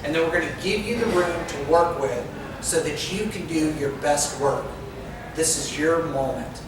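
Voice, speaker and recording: A man speaking to an audience, giving coaching advice directly to his listeners. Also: a little high. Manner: instructive, coaching tone with direct address. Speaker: speaker giving a club talk. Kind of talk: presentation to an audience. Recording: echoey hall from far off with noise.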